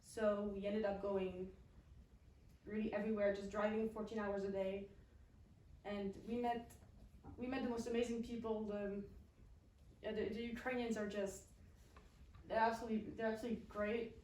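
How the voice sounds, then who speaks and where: A young woman from Switzerland, not a native English speaker, giving a halting personal account as a student speaker at an event. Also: mid-range voice, level tone, darkish tone. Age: young adult. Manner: halting, pausing mid-phrase. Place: indoor event room at a college. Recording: roomy, distant mic, faint noise.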